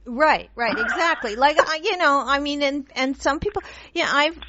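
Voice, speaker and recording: A woman born in Pakistan, raised in London and Montreal, hosting a radio interview with lively, laughing chat and frequent restarts. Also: high-pitched, normal speed, a little hiss or hum. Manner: laughs often, animated, many restarts. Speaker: radio show host. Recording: dull dry radio audio.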